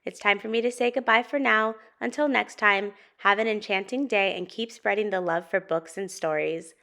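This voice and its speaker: A woman's voice: medium pitch, moderate pace, pushed and bright. A woman hosting a children's storytime, reading and talking expressively to young listeners. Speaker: storytime host and narrator. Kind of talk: children's picture book read-aloud.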